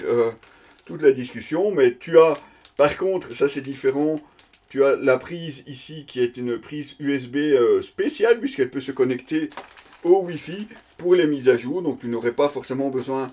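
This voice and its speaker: A man's voice: mid-range voice, warm tone. A man giving a casual, improvised product unboxing commentary, speaking conversationally and hesitantly as he searches for words. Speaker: product reviewer presenting an unboxing.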